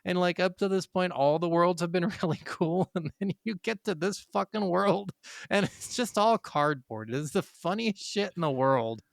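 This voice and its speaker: A man with a slightly high voice chats casually as a podcast co-host. He sounds amused and excitable and swears often, recorded dry with no room sound. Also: normal speed, creaky, no echo. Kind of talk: casual video game discussion.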